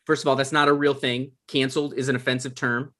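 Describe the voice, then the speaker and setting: An actor at a comedy table read playing a petty, self-important production coordinator, dry and argumentative. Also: male voice, medium pitch, level tone, fast talker, fairly bright tone, close to an everyday voice. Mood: defensive.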